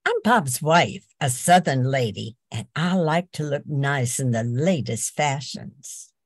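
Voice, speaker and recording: A woman's voice: rumbling low, expressive, takes it slowly, slightly rough, no room sound, clean studio sound, full character voice. A woman playing a genteel, fashion-minded Southern housewife in an amateur old-time radio commercial. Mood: folksy, coy.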